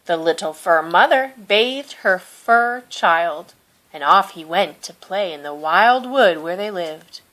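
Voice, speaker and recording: Slow, expressive woman reading a storybook. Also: lowish voice, poor audio. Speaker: storybook reader. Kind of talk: children's picture-book read-aloud.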